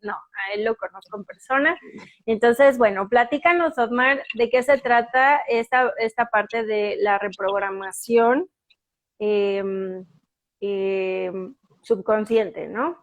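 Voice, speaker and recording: A woman's voice: medium pitch, slow pace. A woman with a Mexican accent hosting a casual live stream, chatty and full of fillers and restarts as she waits for her guest. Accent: Mexican Spanish.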